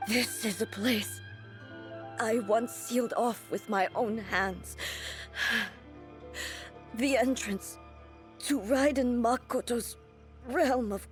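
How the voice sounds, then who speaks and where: A woman voicing a stern, regal divine ruler in a game, speaking in a measured, formal way. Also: a little high, faint music behind. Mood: solemn. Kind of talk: game voice acting.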